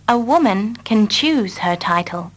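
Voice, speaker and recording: Slow, careful woman repeating lesson lines. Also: mid-range voice, animated. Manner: carefully repeats each line. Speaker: English teacher. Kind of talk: slow scripted reading for learners.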